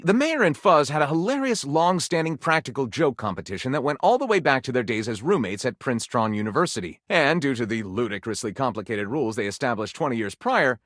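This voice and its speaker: A man's voice: mid-range voice, fairly bright tone, dry recording, clean studio sound. A man playing a theatrical game character who narrates pulpy detective adventures with arch mock gravity and a tongue-in-cheek manner. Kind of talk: dramatic scripted story narration.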